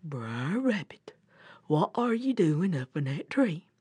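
A woman voicing a slow, gullible, good-natured male bear in a folk tale, with a hushed, breathy voice. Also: lowish voice, very animated, no room sound, pitched below the performer's own voice, exaggerated voice. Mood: puzzled. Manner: slow, simple, rustic folk speech. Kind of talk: animated folk-tale storytelling.

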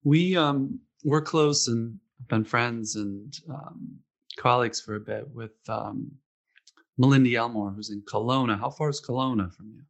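Male host, casual talker, middle pitch, rambles with fillers. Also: a little slow, creaky. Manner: rambling, with many fillers and quoted asides. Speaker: podcast host, former runner. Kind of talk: casual interview chat.

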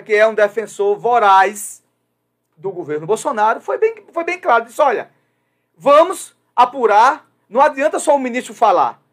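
High-voiced Brazilian man giving opinions. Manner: hesitant with many fillers, grows emphatic and loud. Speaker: commentator on a radio or web show. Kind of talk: opinion commentary.

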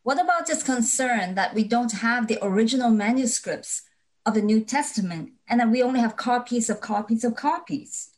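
A woman's voice: a little high, lively intonation, normal speed. A woman acting as interviewer, asking short questions that prompt the teacher.

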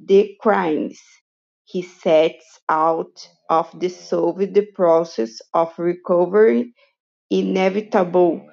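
A woman reading academic prose aloud in a halting way, in a lo-fi voice message. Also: mid-range voice, creaky, darkish tone. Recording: dull lo-fi voice message.

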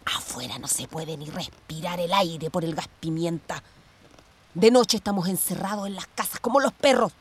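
Actress as an imperious, bitter Chilean ex-commander. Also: female voice, lowish voice, normal speed, a little hiss or hum, lightly acted. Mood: scornful. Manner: authoritative, sarcastic, cold. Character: Ingrid, older ex police commander, imperious, paranoid, bitter sister. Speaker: actor in a radio play. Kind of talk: Spanish-language radio theatre acting.

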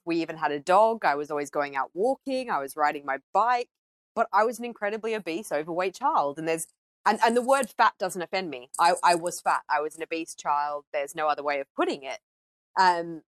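Lower-pitched woman from Australia, dry close sound. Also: dry recording. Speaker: podcast guest. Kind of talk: conversational personal storytelling.

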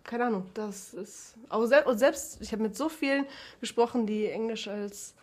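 A woman's voice: on the high side, normal speed, darkish tone. A young woman, a guest on a podcast, chatting casually and laughing often between her stories.

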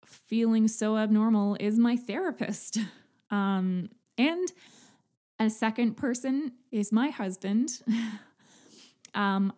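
A young adult woman hosting a podcast, talking to listeners in an earnest, halting personal monologue. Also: medium pitch. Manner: earnest, halting, soft-spoken. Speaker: podcast host.

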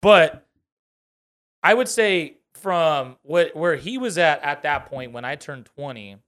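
Casting: man in his thirties, slightly high voice, casual and swearing podcast host. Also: lively intonation, slow, fairly bright tone. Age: 30s. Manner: loose and profane, laughs often. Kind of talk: casual chat.

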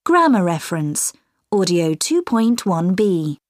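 A woman announcing audio track titles in a crisp, formal manner. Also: on the low side, very animated, slow, no room sound, clean studio sound. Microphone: dry studio mic.

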